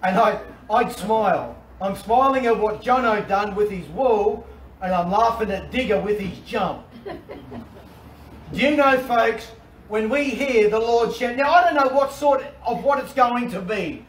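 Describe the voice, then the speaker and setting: A middle-aged man with an Australian accent, preaching an animated sermon from a covered outdoor deck, with repetitions and restarts. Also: sits high, a little slow, a little hiss or hum. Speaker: pastor. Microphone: far camera. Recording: roomy and distant with faint ambience.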